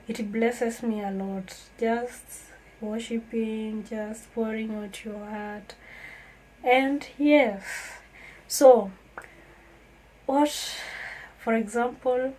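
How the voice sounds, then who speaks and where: A woman's voice: on the high side, a little mellow, rough recording. A woman from Kenya, talking earnestly and reflectively to her viewers in a casual vlog. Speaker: vlogger. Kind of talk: casual talk to camera.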